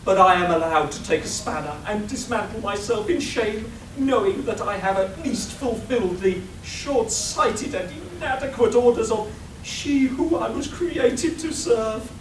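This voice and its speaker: A woman's voice: a little high, a little slow, natural voice. A woman playing a vain, exasperated clockwork machine tailor in a live radio play, pompous, melodramatic and self-pitying. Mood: pompous, wounded. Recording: echoey hall, distant mic, faint noise.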